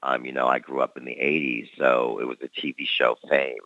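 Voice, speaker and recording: Man, very deep gravelly voice, dull dry lo-fi sound, reflective guest. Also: level tone, fairly quick, bright, forceful tone, no room sound. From Canada. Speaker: dancer and guest. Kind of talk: casual interview talk.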